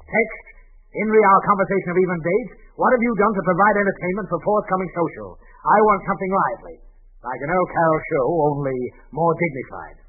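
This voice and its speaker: A man's voice: sits high, animated, brisk, a little hiss or hum. A man playing a blustery, demanding, exasperated boss with a pompous barking manner in 1940s radio comedy style. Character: blustery, demanding male boss, exasperated with his bumbling employee. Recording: tinny band-limited 1940s radio recording.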